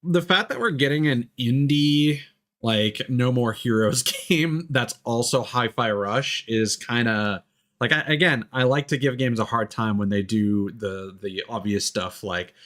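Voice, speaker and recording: A man's voice: medium pitch, unhurried, a bit bright. A man giving casual, rambling, enthusiastic video game commentary as a livestreamer, swearing freely. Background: game music.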